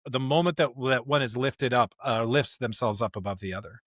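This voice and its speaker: A man's voice: medium pitch, brisk, a bit bright, no echo, studio quality. A man co-hosting a podcast, discussing and reading scripture in an emphatic, conversational way. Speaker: podcast co-host.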